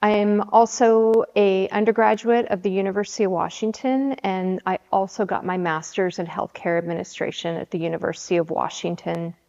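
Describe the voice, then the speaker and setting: Woman in her 40s from the Seattle area, city council candidate, measured and hesitant, muffled sound with a long reverb tail. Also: medium pitch, steady tone, creaky, darkish tone. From Seattle area, USA. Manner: measured, with frequent ums and restarts. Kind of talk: candidate interview answers. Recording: dull audio with long hall reverb.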